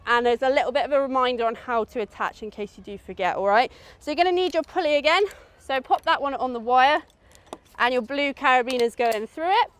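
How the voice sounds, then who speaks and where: British woman instructor, quite high voice, running a group thru safety stuff casually, dry sound. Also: fairly bright tone, no room sound. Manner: informal and instructive, frequent checking tags. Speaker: outdoor adventure course safety instructor. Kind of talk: spoken safety briefing to a group.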